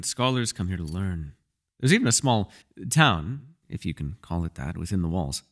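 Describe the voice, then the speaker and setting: A man's voice: fairly low pitch, vocal fry, heavily acted. A man playing a mysterious elf mage in a fantasy ASMR roleplay, a patient mentor who muses wryly in formal, old-fashioned phrasing. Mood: genial, wry. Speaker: voice actor.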